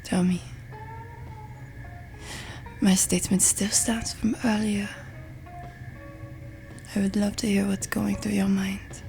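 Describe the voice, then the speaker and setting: A woman playing a pensive French roommate in an intimate ASMR ramble, with a French accent and a soft, breathy, hesitant delivery. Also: mid-range voice, level tone, takes it slowly, pauses often. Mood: musing, gentle. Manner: hesitant, musing, self-deprecating. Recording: music behind the voice. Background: music and summer ambience.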